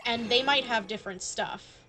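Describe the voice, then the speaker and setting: A woman's voice: a little high, normal speed, sharp tone, slight background noise. A woman live-streaming a game, chatting casually and playfully and speaking straight to her viewers. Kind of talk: casual chat to stream viewers during gameplay. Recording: background music behind voice. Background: music.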